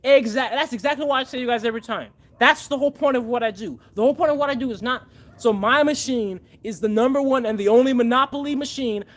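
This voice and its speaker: A man's voice: extremely high pitch, quick pace, fairly bright tone. A man hosting a casual livestream, earnestly thanking donors by name and rallying viewers. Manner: fervent, rallying. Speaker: livestream host and machine builder.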